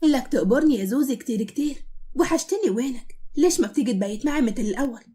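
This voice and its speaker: A woman's voice: high voice, animated, very fast, mellow. A woman putting on a coy Levantine flirt. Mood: flirty. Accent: Levantine Arabic. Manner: coy, pampering.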